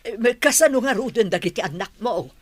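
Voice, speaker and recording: A woman's voice: fairly high pitch, expressive, fairly quick, breathy edge, no echo. A woman with an Ilocano accent playing a concerned grandmother in a radio drama. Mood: concerned, gentle. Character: grandmother consoling her troubled daughter and grandchild.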